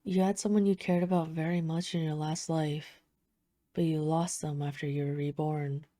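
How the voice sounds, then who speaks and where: A woman playing a poised, flirtatious vampire, speaking softly and teasingly in an intimate audio roleplay. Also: low-pitched, little pitch movement, moderate pace, no echo, clean studio sound, close to an everyday voice. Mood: wistful, hushed. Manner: soft-spoken, teasing, smooth formal phrasing. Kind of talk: intimate scripted audio roleplay addressed to the listener.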